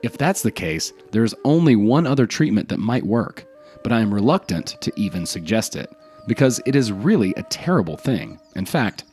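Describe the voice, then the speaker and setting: Man as El Arif, grave low-voiced Sufi healer. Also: sing-song, moderate pace, a little mellow, faint music behind. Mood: grave, reluctant. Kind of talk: folk tale storytelling.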